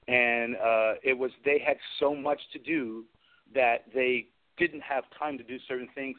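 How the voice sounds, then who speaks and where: Man telling stories over a tinny phone line. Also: mid-range voice. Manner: chatty, recounts conversations in quoted dialogue. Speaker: guest, special effects craftsman. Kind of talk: anecdotal interview storytelling.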